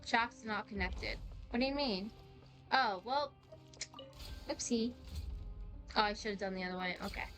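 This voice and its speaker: A young woman chatting casually in a rambling, excitable, slangy way as she streams a game. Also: mid-range voice, a little slow, pauses often. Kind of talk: casual live-stream chat while playing. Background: game music.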